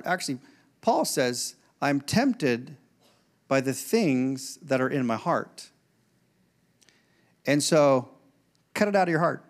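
A man in his forties preaching a sermon on a church stage into a handheld microphone, swinging between loud emphatic lines and quiet conversational asides. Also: a little high. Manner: preaching with rising and falling intensity, conversational asides. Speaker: pastor.

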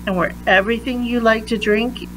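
A woman reading a children's picture book aloud in a deliberate manner, pausing between phrases. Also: a little high, steady tone, a bit bright, slight background noise, poor audio. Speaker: read-aloud reader.

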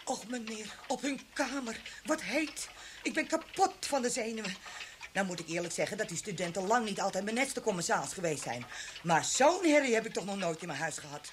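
A woman's voice: mid-range voice, animated, a little fast, close to an everyday voice. An actress playing an agitated, indignant, chatty landlady at her wits' end in an old Dutch radio drama. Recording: noisy old radio recording with clicks.

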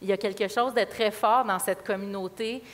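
A woman's voice: mid-range voice, fairly even intonation, moderate pace. Quebec woman moderator in a reverberant hall. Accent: Quebec French. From Quebec, Canada. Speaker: panel moderator. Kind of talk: hosting an on-stage panel discussion. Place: large auditorium stage. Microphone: headset.

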